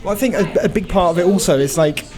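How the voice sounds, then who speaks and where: A man's voice: high voice, fast, darkish tone, soft background music. A man being interviewed as a film crew member, explaining things casually as he thinks aloud.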